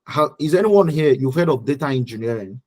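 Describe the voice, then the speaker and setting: A man with a Nigerian accent and a husky voice teaching an online class informally, repeating phrases for emphasis and checking in with listeners. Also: medium pitch, lively intonation, brisk, a little mellow. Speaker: teacher leading an online study group. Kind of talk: informal live lecture with check-in questions.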